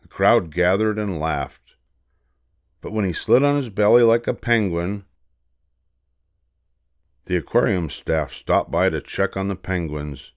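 Deep, slow older man narrating a storybook. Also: animated, dry recording, clean studio sound. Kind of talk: scripted children's storybook narration.

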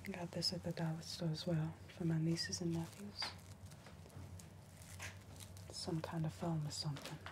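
A young woman playing a deadpan backwoods helper in a soft-spoken ASMR crime roleplay, halting and often whispering. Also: low voice, natural voice. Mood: soothing, hesitant. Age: young adult. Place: dim indoor room, seen at a bar counter. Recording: noticeable background noise, room ambience.

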